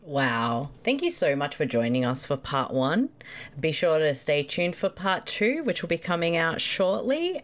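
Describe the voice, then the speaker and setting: Woman, podcast co-host, teasing, casual banter, lively pitch. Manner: teasing, laughs often, reads show outro. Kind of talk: casual movie riffing chat.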